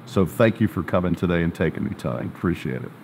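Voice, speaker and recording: A man hosting a casual interview, chatty and joking, asking quick follow-up questions. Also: low voice, animated, creaky, a little mellow, a little hiss or hum. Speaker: host.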